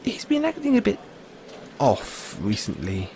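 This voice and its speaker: Male actor as anxious, kindly British android owner turned sleuth; slow, stammering delivery, faint noise behind. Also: mid-range voice, huge pitch swings, creaky, mellow, exaggerated voice. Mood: nervously polite. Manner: hesitant, halting, stammering. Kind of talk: audio roleplay acting.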